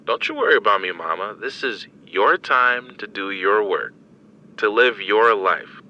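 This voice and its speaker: An actor playing a caring adult son in a video game, gently teasing and reassuring. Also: male voice, on the low side, animated, a little slow, lo-fi sound, full character voice. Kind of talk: naturalistic video game acting.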